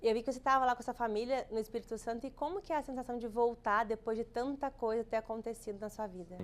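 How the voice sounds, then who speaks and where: A woman from Minas Gerais co-hosting a podcast, chatting warmly and addressing the audience directly. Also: a little high, rapid-fire. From Minas Gerais, Brazil. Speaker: podcast co-host. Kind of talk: podcast host chat and sponsor read.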